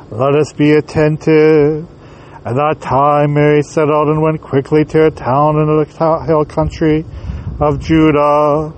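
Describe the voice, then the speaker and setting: A man leading a church service as priest, chanting prayers in a slow, drawn-out way and then reciting the gospel more briskly. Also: fairly high pitch, darkish tone, a little hiss or hum, low-quality recording. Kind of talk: chanted liturgy and gospel reading.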